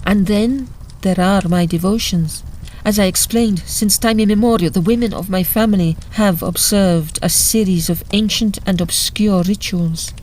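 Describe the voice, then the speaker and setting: A woman acting a secretive, imperious Italian marchesa in an audio drama, speaking in a measured, formal way. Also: medium pitch, darkish tone, a little hiss or hum, lightly acted, poor audio. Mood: guarded.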